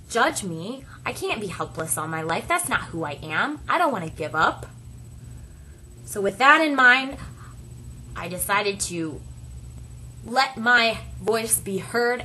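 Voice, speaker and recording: A woman voicing a first-person story as an interpreter, speaking deliberately in short phrases with dramatic pauses. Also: on the high side, bright, forceful tone, slight background noise, low-quality recording.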